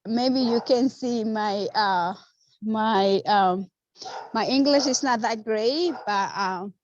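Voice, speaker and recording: A woman whose first languages are a dialect and Spanish, speaking English haltingly with many fillers and restarts as she encourages new real estate investors. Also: a little high, darkish tone, dull audio, slight background noise. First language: a dialect, then Spanish. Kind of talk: casual encouraging talk on a video call.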